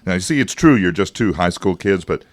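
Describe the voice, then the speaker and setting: An actor in a radio drama playing an evasive, persuasive government agent, smooth-talking and patronizing, with hesitations. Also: male voice, low voice, lively intonation, a little fast, dry recording. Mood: guarded, persuasive.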